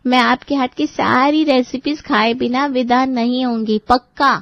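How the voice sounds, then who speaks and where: A woman's voice: high-pitched, fairly even intonation, unhurried, dry recording, lo-fi sound. A woman playing a spoiled young bride-to-be in a family drama, pleading and sweetly manipulative with her relatives. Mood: coaxing, cheerful. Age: young adult. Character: wheedling, spoiled young bride-to-be, pampered little sister. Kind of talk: Hindi family drama acting for story video.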